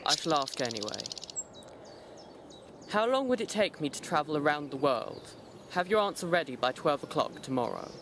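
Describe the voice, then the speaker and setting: A man's voice: fairly high pitch, sing-song, moderate pace, fairly bright tone. A man playing a proud, inquisitive king in a fairy tale, speaking in formal, courteous phrases. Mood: commanding, questioning. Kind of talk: fairy tale character acting. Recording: amateur recording with clear background noise.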